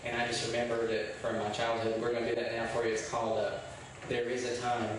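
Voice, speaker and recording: A man in an army band chatting quickly to an outdoor audience between songs, rambling with fillers. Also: medium pitch, completely flat delivery, background noise. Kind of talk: on-stage song introduction. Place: outdoor concert stage in a park. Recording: echoey, distant live concert recording. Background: band instruments.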